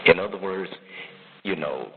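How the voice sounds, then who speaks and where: A man's voice: sits low, unhurried. A middle-aged man talking casually on a phone call, giving avuncular advice and repeating himself for emphasis. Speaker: uncle advising his jailed nephew. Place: phone line. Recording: muffled, noisy recorded jail phone call.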